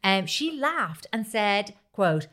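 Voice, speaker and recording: A woman's voice: mid-range voice, big pitch swings, takes it slowly, a bit bright. A woman podcast host chatting casually and reading a story aloud, swearing freely and acting out the quoted dialogue.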